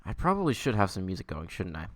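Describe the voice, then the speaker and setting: A man's voice: deep voice, sing-song, fast, no echo, faint music behind. A man hosting a livestream with casual chat, rambling, self-amused and casually profane. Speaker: livestream host.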